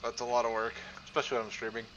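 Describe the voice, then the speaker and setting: A man's voice: medium pitch, completely flat delivery, very fast, dull audio, a crowd in the background. A man with a Southern Ontario Canadian accent, chatting casually and joking while he streams a farming game and talks to his chat. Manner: chatty, joking, trails off and restarts.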